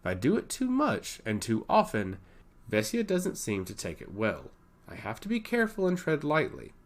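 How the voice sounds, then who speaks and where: A man's voice: mid-range voice, wildly swooping pitch, normal speed. A man voicing an amused, teasing god of intellect in a theatrical way for a fantasy story. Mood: coy. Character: smiling, playfully coy male god of intellect.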